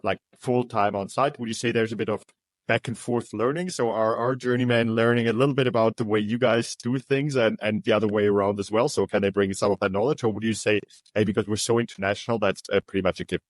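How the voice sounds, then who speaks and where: A man's voice: on the low side, steady tone, fast talker, dry recording. A man interviewing a business partner, asking long, chatty questions full of "you know" and "um". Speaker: interviewer for recruitment agency.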